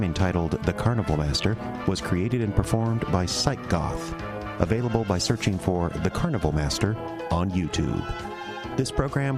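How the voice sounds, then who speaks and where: Male announcer, low register, scripted radio theatre credits over clear music. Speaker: closing announcer. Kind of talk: scripted audio drama credits.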